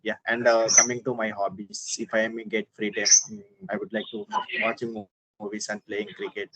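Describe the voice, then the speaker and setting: Halting young man with an Indian accent. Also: on the low side, flat delivery, muffled recording, noisy background. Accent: Indian English. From Hyderabad, South India. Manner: halting, searching for words, inquisitive. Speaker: conversation partner practising English. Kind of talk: casual online video call chat.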